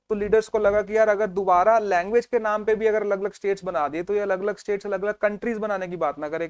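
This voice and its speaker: Male teacher with a high voice, dry close recording, chatty storytelling lecture style. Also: no room sound. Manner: storytelling, mixes Hindi and English, checks in with students. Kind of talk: exam revision lecture.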